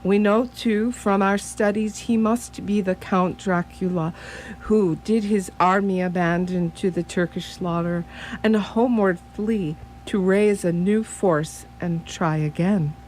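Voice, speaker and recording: A woman playing a wise, grave old male professor who hunts vampires, speaking formally and deliberately in a radio drama. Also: mid-range voice, steady tone, a little mellow, slight background noise, natural voice, rough recording. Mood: grave, resolute.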